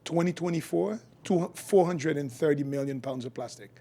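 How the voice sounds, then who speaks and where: Husky, higher-voiced middle-aged man. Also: moderate pace, warm tone. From Haiti. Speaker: guest, functional medicine physician. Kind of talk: explanatory podcast interview.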